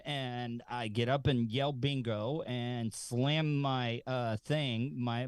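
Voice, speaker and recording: A man's voice: medium pitch, no room sound. Rambling man in a dry studio. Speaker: radio show guest. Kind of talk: rambling casual chat and anecdotes.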